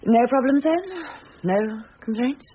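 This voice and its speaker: An actress playing a brisk, probing British police superintendent, formal and dryly authoritative, in a radio drama. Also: female voice, high-pitched, expressive. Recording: dull, faintly noisy radio recording.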